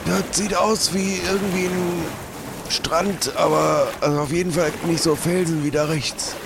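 A man acting a practical, helpful and teasing pirate mate with a creaky voice in an improvised audio adventure. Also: fairly high pitch, fairly even intonation, measured pace. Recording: very loud noisy background ambience.

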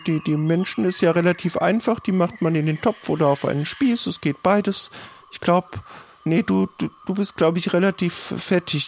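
A man plays an eager young boy who apprentices as a cannibal's cook in a fantasy role-play. The voice sits fairly high and keeps stumbling and repeating words with naive earnestness. There is faint noise behind it. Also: vocal fry. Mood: matter-of-fact.

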